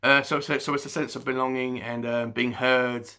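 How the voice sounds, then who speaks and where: A man chatting casually to camera about books at home in the evening, halting with frequent ums, restarts and a slight stutter. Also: medium pitch, little pitch movement, bright, forceful tone. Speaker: book vlogger. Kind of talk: casual unscripted chat to camera.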